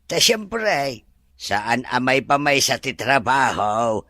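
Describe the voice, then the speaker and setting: A man's voice: mid-range voice, big pitch swings, takes it slowly, pushed and bright, heavily acted. A man playing a plain-spoken, grumbling but loyal resort worker in an Ilocano audio drama. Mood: explaining.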